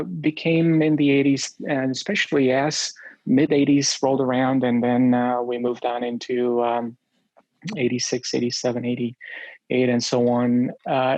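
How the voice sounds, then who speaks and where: A man in his forties, originally from Romania, telling his life story in an interview, with many pauses and fillers. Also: medium pitch, a little mellow, no echo, low-quality recording. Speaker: guest.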